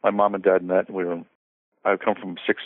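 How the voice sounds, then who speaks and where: A man's voice: low voice, level tone, normal speed, no room sound. An older man from Connecticut talks through a tinny, phone-like line that sounds dry and close. His talk rambles along, full of restarts and small fillers, in a casual interview manner. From Connecticut, USA. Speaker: guest, conductor and composer.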